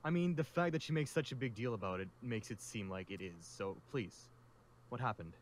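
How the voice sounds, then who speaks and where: A man's voice: medium pitch, lively intonation, a little fast, slight background noise, lightly acted. A man playing an easygoing, hesitant, conciliatory young survivor in a game roleplay. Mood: reasoning. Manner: hesitant, placating.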